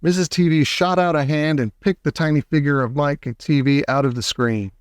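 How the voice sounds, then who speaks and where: A man reading a children's storybook aloud as an expressive audiobook narrator. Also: on the high side, fairly quick, clear, resonant tone, dry recording, studio quality.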